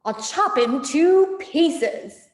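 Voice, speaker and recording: A maniacal, pot-bellied little farmer, put on by a woman reader, yelling threats. The storybook villain is high and swooping, in a room with long reverb. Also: expressive, very slow, heavily acted. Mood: threatening. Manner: yelling, menacing.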